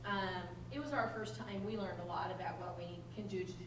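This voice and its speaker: A woman speaking at a school board meeting, picked up from across an echoey room. Her voice sits a little low, and she talks in a chatty, rambling way, full of ums and restarts. Steady background noise runs under the recording. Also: brisk. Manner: warm, chatty, many "um"s and restarts. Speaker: community nonprofit representative. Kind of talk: informal remarks at a public board meeting. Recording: echoey room, distant mic, noisy.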